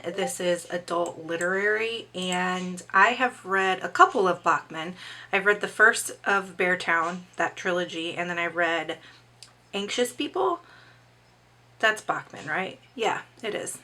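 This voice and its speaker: A middle-aged woman with a slightly hoarse voice, chatting casually about upcoming books and reading their blurbs aloud. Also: fairly low pitch, a little slow, bright, forceful tone. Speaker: book vlogger.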